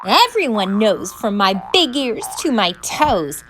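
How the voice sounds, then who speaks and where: A woman's voice: medium pitch, sing-song, takes it slowly, a bit bright, faint music behind. A woman voicing a cheeky, boastful young kitten boy with grand, theatrical delivery in a storybook. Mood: defiant.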